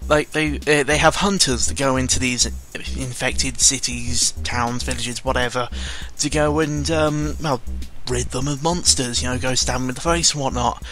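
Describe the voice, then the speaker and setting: A man's voice: mid-range voice, steady tone, normal speed, hardly any pauses, a bit bright. A man giving casual, unscripted gaming commentary in a rambling, self-deprecating, sweary way. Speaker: gaming video creator. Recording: music behind the voice. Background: background music.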